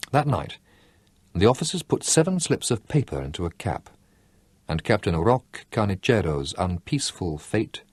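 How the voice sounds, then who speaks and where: A man narrating a novel as an audiobook, in a measured, expressive storytelling manner. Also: on the low side, husky, no room sound. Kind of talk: scripted narration.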